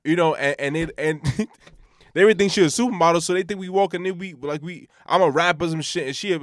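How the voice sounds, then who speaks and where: A man with a deep voice that still sits a little higher than most, co-hosting a casual podcast. He talks in a relaxed way, often repeating words as he works toward a point. The sound is dry and close to the mic. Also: fast talker, no echo. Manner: relaxed, repeats words while searching for thoughts. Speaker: podcast co-host. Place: hot room in a building.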